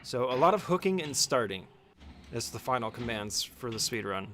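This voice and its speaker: A man's voice: mid-range voice, sing-song, normal speed, a bit bright, a little hiss or hum. A man giving a casual, step-by-step speedrun tutorial as commentary, speaking informally and giving short instructions.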